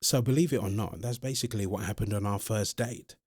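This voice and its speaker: A man playing a centuries-old vampire boyfriend in an ASMR roleplay, exasperated but protective, speaking haltingly and pleadingly to the listener. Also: on the low side, darkish tone, breathy edge, dry recording, clean studio sound. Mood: reassuring, earnest. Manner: hesitant, halting, pleading, addresses listener directly.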